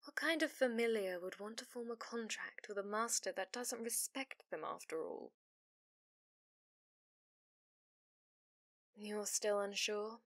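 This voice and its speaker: A possessive female fox spirit, acted by a woman for an ASMR roleplay. She speaks very slowly and intimately, often sinking into breathy whispers. The sound is dry and close, as if she is right at the microphone. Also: medium pitch, dry recording, natural voice. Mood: insistent, coaxing. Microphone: close studio microphone, dry.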